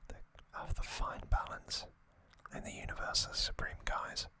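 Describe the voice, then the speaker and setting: An ASMR host whispering in a breathy, unvoiced voice, giving an informal recap in short, halting phrases. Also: sharp tone.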